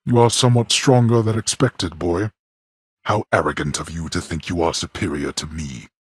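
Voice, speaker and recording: A man voicing a stern, scornful god of the dead and imperious father in a video game, with a booming, gravelly voice and formal, archaic phrasing. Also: steady tone, normal speed, dry recording. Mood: scornful, angry. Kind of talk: video game character voice acting.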